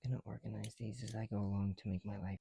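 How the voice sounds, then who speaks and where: A soft-spoken man chatting casually in an ASMR style, often dropping into whispers, with a creaky voice and a rambling, halting delivery, close to the mic. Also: fairly low pitch, fairly even intonation, a little hiss or hum. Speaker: ASMR video creator. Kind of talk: casual ASMR chat while building bricks.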